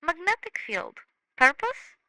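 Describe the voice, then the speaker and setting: A woman's voice: very high voice, very animated, takes it slowly, sharp tone, dry recording, voice pushed up, exaggerated voice, low-quality recording. A woman voicing a small, literal-minded, curious alien student who speaks in clipped, telegraphic phrases in an audio story. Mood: curious, precise.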